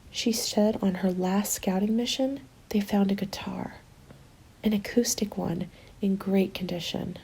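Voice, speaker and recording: A woman playing a hopeful survivor of a zombie apocalypse in an ASMR roleplay, soft-spoken and often slipping into whispers. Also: medium pitch, slightly rough, poor audio. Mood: hopeful, excited. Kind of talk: ASMR roleplay, intimate storytelling. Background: background music.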